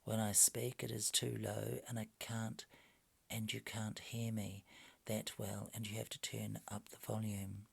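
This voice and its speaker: A quiet, soft-spoken man muttering to himself at home, grumbling mildly and trailing into whispers. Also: fairly low pitch, monotone, measured pace, creaky, slightly rough, no room sound. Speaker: language video maker. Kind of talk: casual talking to himself while working. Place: home at night. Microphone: phone.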